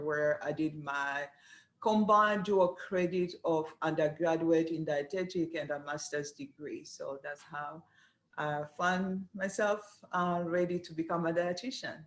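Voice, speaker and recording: Woman in an echoey room. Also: low-pitched. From Rwanda. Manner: earnest, measured, pauses often with "uh". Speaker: dietetics program director, guest. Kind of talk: interview answers.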